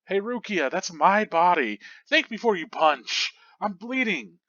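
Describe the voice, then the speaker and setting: A man voicing a brash, gruff teenage boy hero who talks in a curt, irritable way. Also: high-pitched, very animated, a little slow, pushed and bright, dry recording, muffled recording, voice pushed up, exaggerated voice. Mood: irritated.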